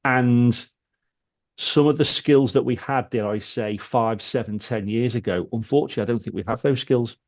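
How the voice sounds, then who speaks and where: British male co-host, thoughtful and halting, muffled remote line. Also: medium pitch, a little mellow. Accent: British English. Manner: thoughtful, halting, restarts often. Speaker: podcast co-host. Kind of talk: casual podcast chat.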